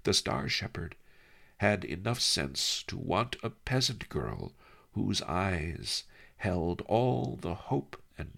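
A man's voice: lowish voice, vocal fry, voice pushed up, exaggerated voice. A man playing a wry old sage. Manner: calm, dry, reasoning tone. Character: wily old male sage, calm and wry.

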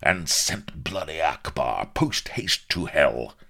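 A man voicing a huge, hot-tempered old admiral in an audiobook, rumbling and roaring, with pompous bluster and salty archaic oaths. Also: expressive, takes it slowly, vocal fry, sharp tone, full character voice. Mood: astonished admiration.

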